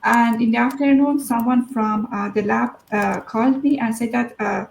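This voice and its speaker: Gravelly woman, roomy echo. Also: fairly high pitch, fairly even intonation, normal speed. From Iran. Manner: hesitant, many fillers. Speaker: guest. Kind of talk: personal story in an interview.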